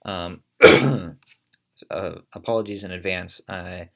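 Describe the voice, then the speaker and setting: Low gravelly man with a congested voice. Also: slow, pushed and bright. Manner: coughs and hedges, thinks aloud. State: congested from allergies. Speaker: league commentator. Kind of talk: casual play-by-play game commentary.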